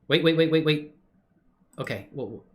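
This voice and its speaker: A man's voice: fairly high pitch, moderate pace. Playful man rambling on a livestream. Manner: effusive when greeting viewers, playful slang, muttering and rambling while working. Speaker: livestream host and hobby 3D animator. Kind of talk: casual livestream chat and screen-share tutorial.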